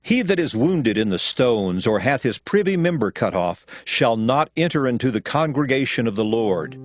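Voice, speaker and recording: A man reading scripture aloud as a narrator, solemn and measured, in a dramatized style. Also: mid-range voice, big pitch swings. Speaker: Bible narrator.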